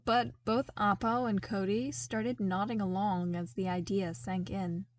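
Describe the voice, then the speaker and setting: A woman's voice: mid-range voice, steady tone, unhurried. A woman reading a story aloud as a scripted audiobook-style narration. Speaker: podfic narrator.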